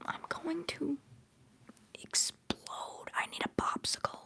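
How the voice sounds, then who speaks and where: A young woman hosting a livestream, whispering softly as she rambles through chat messages and answers viewers fondly, holding back tears. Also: takes it slowly. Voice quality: soft whispering voice. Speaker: livestream host.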